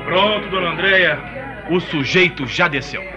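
An actor playing an earnest, courteous young student in a radio soap, with a Brazilian accent. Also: male voice, high voice, normal speed, lightly acted. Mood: calm, amused. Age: young adult. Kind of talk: radio soap opera acting. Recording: dull radio drama recording, music behind.

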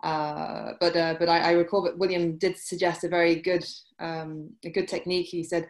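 British lady on a video call, kinda low voice, lots of ums and restarts. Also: flat delivery, normal speed. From UK and France. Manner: hesitant, many restarts and fillers. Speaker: guest, journalist. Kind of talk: casual interview answers. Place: indoor room, video call. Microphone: laptop webcam mic.

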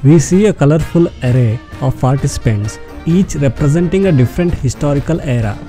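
A man's voice: fairly high pitch, animated, a little fast, loud music behind the voice. A man delivering scripted narration as the narrator of a travel vlog.

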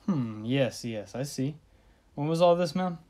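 A woman's voice: sits low, lively intonation, measured pace, dark tone. A woman playing a bored, inattentive police desk sergeant in a radio drama, distracted and noncommittal.

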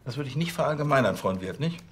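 A man's voice: mid-range voice, lively intonation, fast, lightly acted. A man playing a blustering, bossy, self-important police chief in a TV crime drama. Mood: dismissive. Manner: pompous, hesitating with "äh".

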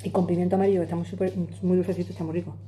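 Chatty woman with a dark, mellow voice. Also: fairly low pitch, level tone, racing, a little hiss or hum, poor audio. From Madrid, Spain. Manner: chatty, emphatic, talks with her hands. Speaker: vlogger. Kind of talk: casual chat to camera while eating. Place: home kitchen, indoors. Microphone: phone camera on the table.

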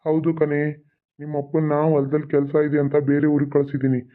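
Man doing a scheming village villain, sneering, menacing, somewhat high, thin phone-like sound. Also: flat delivery, a little fast, natural voice. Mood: threatening.

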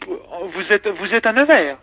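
A man's voice: high-pitched, big pitch swings, a little slow, breathy edge, dry recording. A man on a telephone line, a police officer patiently and insistently explaining and asking questions. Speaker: gendarme on phone duty. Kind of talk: official phone conversation. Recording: dull phone line.

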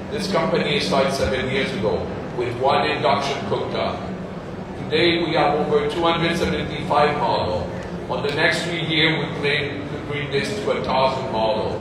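Founder pitching in a booming hall. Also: male voice, recorded from a distance, very noisy. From Canada. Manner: halting non-native English, plain short sentences. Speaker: company founder presenting. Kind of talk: stage product pitch. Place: large convention hall stage. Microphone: far phone camera in audience. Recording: echoey hall recorded from the audience.

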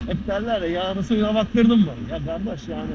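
A man's voice: high voice, moderate pace. A man chatting casually as he rides a scooter through city traffic, explaining the bike as he goes. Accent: Istanbul Turkish. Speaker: motovlogger. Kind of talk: casual riding commentary. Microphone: helmet-mounted action camera. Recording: muffled action-camera audio with faint traffic noise.

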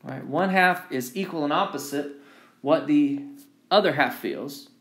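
A man's voice: a little high, a little slow, fairly bright tone. A man giving an informal engineering lecture, repeating key phrases for emphasis and often checking in with "right?". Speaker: engineering instructor. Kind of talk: informal lecture with handwritten notes.

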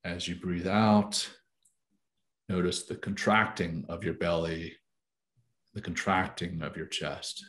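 A man leading a guided meditation, giving calm, soothing and deliberate instructions. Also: low voice.

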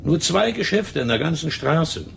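A man playing a chatty, gossipy tobacco shopkeeper in a German radio crime drama, talking in an emphatic, drawn-out way. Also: fairly high pitch, very animated, creaky, slight background noise, full character voice. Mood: confiding. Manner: talkative, emphatic, drawn-out storytelling. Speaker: tobacco shop owner in a radio play.

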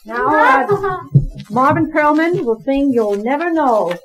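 A woman's voice: high voice, animated, slow. Woman announcer on a crackly 1940s disc. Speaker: choir teacher announcing performers. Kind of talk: formal announcement of a children's recital. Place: private home. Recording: crackly, noisy 1940s home-cut acetate disc.

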